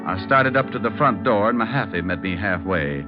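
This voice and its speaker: A man's voice: fairly low pitch, animated, fairly quick, music in the background, close to an everyday voice. A man acting a wisecracking, unflappable private detective in an old-time radio crime drama, with wry, flippant delivery. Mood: narrating. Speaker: lead actor and narrator. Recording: dull vintage radio recording.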